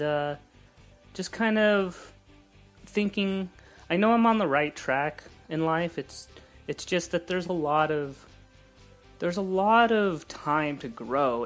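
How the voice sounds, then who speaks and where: A man's voice: medium pitch, fairly bright tone. A man in his late twenties talking through his thoughts in a casual, rambling motivational monologue, reflective and a little self-talking. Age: 20s. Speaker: vlogger.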